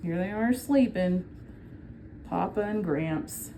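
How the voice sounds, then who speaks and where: A woman's voice: fairly low pitch, slow, background noise. A woman reading a children's book aloud like a teacher, explaining simply and prompting her listeners with questions.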